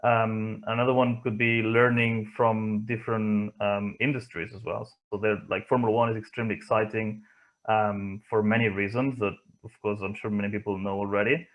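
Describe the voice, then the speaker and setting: Low-voiced man with a Spanish accent. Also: flat delivery, low-quality recording. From Barcelona area, Spain. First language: not English, from Spain. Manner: hesitant, thoughtful, pausing often. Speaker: interview guest, motorsport engineer. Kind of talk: reflective interview answers.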